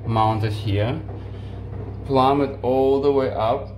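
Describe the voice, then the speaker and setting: A man in his forties giving a casual, unhurried how-to demonstration, with halting restarts, filmed on a phone at arm's length in a small laundry room. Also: medium pitch, steady tone, darkish tone. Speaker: home appliance video maker. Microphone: phone camera on selfie stick at arm's length. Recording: echoey room with washing machine noise.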